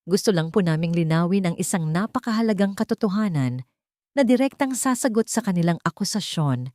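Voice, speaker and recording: Woman voicing a calm, authoritative male defense lawyer. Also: fairly low pitch, no echo, clean studio sound, natural voice. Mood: measured, firm. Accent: Filipino. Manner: measured, formal, deliberate.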